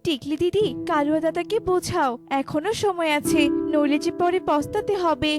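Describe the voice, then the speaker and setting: A woman voicing a small, kindly female bird neighbour in a children's cartoon, earnest and gently chiding. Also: very high-pitched, moderate pace. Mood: concerned warning. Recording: music behind the voice.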